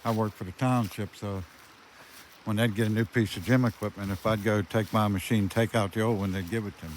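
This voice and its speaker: A man's voice: lowish voice, steady tone, brisk. An older man giving a casual, folksy walk-around commentary outdoors, thinking aloud in plain words as he goes. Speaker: vlogger showing his farm. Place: outdoors on a rural farm. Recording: very noisy outdoor amateur recording.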